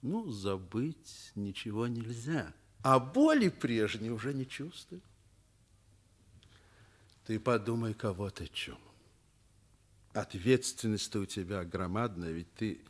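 An actor playing a kindly, firm middle-aged school director in a Soviet radio drama, fatherly and gently ironic. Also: lowish voice, lively intonation, unhurried, long pauses. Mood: sympathetic.

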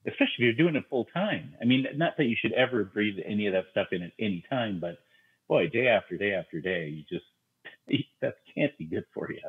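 A man's voice: fairly low pitch, animated, brisk, husky, phone-quality sound. A man co-hosting a podcast over a video call, chatting wryly. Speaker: podcast co-host. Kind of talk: casual chat.

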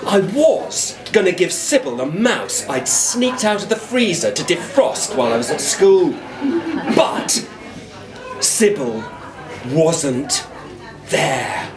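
A man's voice: a little high, a crowd in the background. Middle-aged man theatrically reading a children's story. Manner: expressive, deliberate, dramatic pauses. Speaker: actor reading a children's book. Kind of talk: theatrical storybook narration in a schoolboy's first person. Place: wooden-beamed indoor venue at a live reading. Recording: echoey room, live event.

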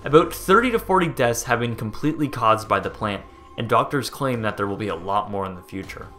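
Young American guy, upbeat host, animated bright read, casual and joking. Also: medium pitch, fairly quick, soft background music. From United States. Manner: jokey, excitable, swears and trips over place names. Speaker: host narrating a documentary video. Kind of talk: casual narration over footage.

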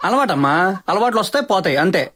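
A man playing a breezy, excitable young husband in a romantic comedy film, playful and mixing English into his speech. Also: high voice, rapid-fire, over loud music. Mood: teasing denial. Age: young adult.

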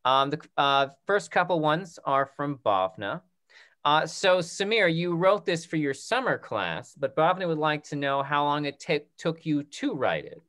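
A man's voice: on the high side, very animated, fairly bright tone. A man in his thirties hosting a live question session on a video call, reading out comments and restarting his phrases as he goes. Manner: halting, restarts and repeats phrases. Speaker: host of an online theatre talk back. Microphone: computer mic on video call.

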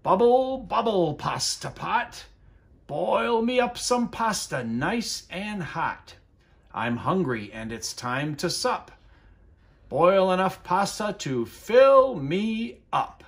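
Storybook grandma witch, played by a man: elderly, firm yet kindly, singsong, very animated pitch, unhurried. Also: gravelly, full character voice. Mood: singsong chanting. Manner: singsong chanting and firm instructing.

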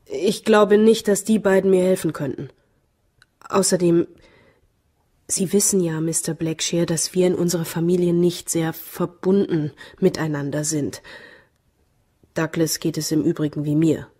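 Ängstliche junge Frau mit belegter Stimme. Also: female voice, on the low side, fairly even intonation, warm tone, husky. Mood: subdued. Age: young adult. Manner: halting, timid, pleading. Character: anxious, brittle young heiress pleading for help. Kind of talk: German radio play acting.

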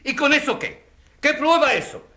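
A man's voice: very high voice, normal speed, pushed and bright, full character voice. A man playing an outspoken, indignant schoolteacher, combative and challenging in a radio courtroom drama.

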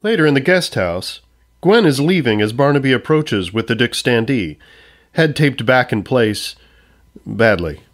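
A man's voice: mid-range voice, animated, unhurried, faint music behind. A man giving scripted narration, reading out stage directions for an audio comedy.

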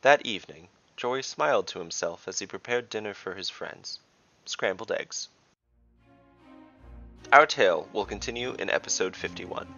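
Deep-voiced man narrating fantasy, slightly creaky. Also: animated, normal speed, bright, forceful tone, muffled recording, faint music behind. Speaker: narrator and game master. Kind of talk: scripted story narration and tabletop game refereeing.

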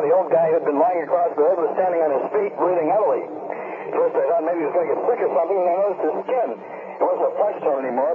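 An actor playing a frightened male field reporter in a 1970s radio drama, giving a tense, urgent first-hand account over a two-way radio. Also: sits high. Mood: shaken, tense. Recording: noisy, tinny two-way radio transmission.

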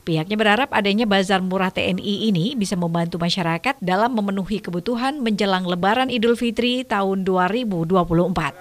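A woman's voice: medium pitch, a little fast, hardly any pauses. A woman reading a scripted television news voice-over as the reporter.

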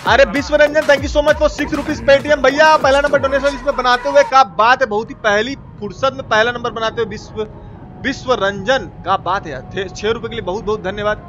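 High-pitched man streaming with an eastern Hindi accent. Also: fairly even intonation, brisk. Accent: eastern Hindi belt (Bihar and Purvanchal). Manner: playful, colloquial, exclaiming, repeats phrases. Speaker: live game streamer. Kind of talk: casual live gaming commentary, thanking donors. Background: video game music.

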